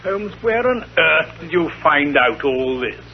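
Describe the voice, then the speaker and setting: Earnest puzzled british sidekick, creaky voice, swoops about, hesitant, old dull radio play. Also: male voice, on the high side, expressive, a little slow. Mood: puzzled, curious. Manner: deferential, hesitant interjections. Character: earnest, puzzled, loyal gentleman companion to a detective. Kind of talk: vintage British radio drama acting. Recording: dull, lo-fi vintage radio recording.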